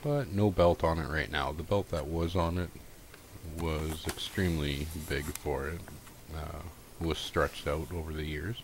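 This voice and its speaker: Very deep-voiced man, noisy recording. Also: steady tone, a little slow. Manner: informal, folksy, unscripted. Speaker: host of a repair tutorial. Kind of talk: casual step-by-step how-to narration.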